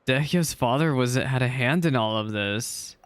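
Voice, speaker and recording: A young man chatting excitably as he reacts to a game, talking over the dialogue. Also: mid-range voice, moderate pace. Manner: excitable, chatty, laughs often. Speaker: gaming reaction streamer. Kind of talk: casual reaction commentary.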